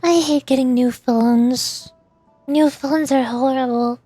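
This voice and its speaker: A woman's voice: high voice, fairly even intonation, takes it slowly, dark tone, no room sound, soft background music. A tired, sleepy woman chatting casually and rambling on a livestream as a VTuber. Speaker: VTuber streamer and amateur voice actor.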